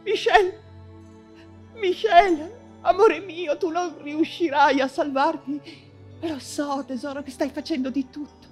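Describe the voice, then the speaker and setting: An ailing, imprisoned woman writer in a stage monologue. Her voice is high and anguished, and she speaks slowly and haltingly, repeating herself as if fevered. Faint music plays underneath. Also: fairly even intonation. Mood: anguished, tender. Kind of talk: dramatic stage monologue in Italian theatre.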